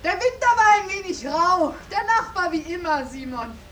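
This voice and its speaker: Casting: plain-spoken young maid, very high pitched, earnest radio-play delivery, set far from the microphone. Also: female voice, expressive, normal speed, fairly bright tone. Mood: shy, playful. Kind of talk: 1950s German radio drama acting. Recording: faintly hissy, distant 1950s radio recording.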